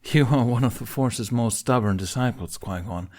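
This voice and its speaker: A man voicing a weary bearded male Jedi who speaks politely and quietly. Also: mid-range voice, moderate pace, lightly acted. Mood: subdued. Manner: polite, subdued. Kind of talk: fan audiobook reading.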